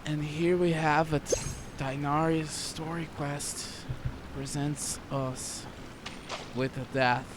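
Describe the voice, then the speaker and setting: A man's voice: on the high side, flat delivery, slow pace, noisy background. A man giving casual let's-play commentary as a game streamer, reacting in muttered, repetitive remarks. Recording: game music behind voice. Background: video game music.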